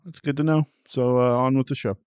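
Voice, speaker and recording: A man co-hosting a film review podcast, trading dry, teasing remarks with his partner. His pitch sits in an ordinary middle range. The recording is close and dry. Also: steady tone, fairly quick, a little mellow, smooth, no echo, lo-fi sound. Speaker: podcast co-host. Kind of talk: casual film review chat.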